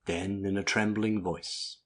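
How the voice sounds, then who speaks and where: Husky male literary narrator. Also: sits low, fairly even intonation, takes it slowly, fairly bright tone. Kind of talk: scripted literary audiobook narration.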